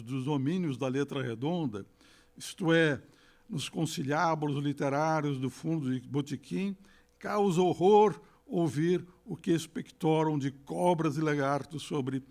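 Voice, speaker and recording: An older man giving a formal lecture, reading passages aloud and stopping for halting asides with fillers and self-corrections, speaking at a podium microphone in a lecture hall. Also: fairly high pitch, darkish tone. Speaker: lecturer at a literary academy. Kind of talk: formal lecture with read quotations.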